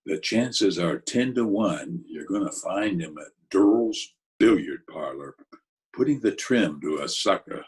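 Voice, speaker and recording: An actor playing a gruff, commanding gangster boss, blunt and bossy, in old-time radio comedy style. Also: male voice, low voice, lively intonation, darkish tone. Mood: brisk, commanding. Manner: blunt, bossy, deliberate slangy talk.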